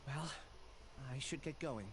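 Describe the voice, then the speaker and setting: An actor playing a gentle, remorseful young male scholar in a video game. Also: a little high, measured pace, background noise, close to an everyday voice. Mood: resigned. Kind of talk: video game character dialogue.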